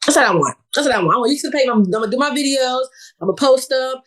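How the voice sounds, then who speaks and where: A woman's voice: high-pitched, racing. Laid-back woman from New York City. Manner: relaxed, chatty, laughs easily. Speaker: podcast guest, social media creator. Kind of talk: casual podcast chat.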